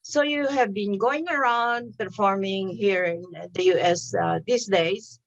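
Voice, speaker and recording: A middle-aged woman hosting an interview over a video call, forming her questions slowly with many pauses and fillers. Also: mid-range voice, no echo, muffled recording. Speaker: host. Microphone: video call webcam.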